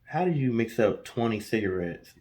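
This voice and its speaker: A man's voice: on the low side, very animated, normal speed, warm tone. A man co-hosting a storytelling guessing show in a casual, chatty way. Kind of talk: casual chat.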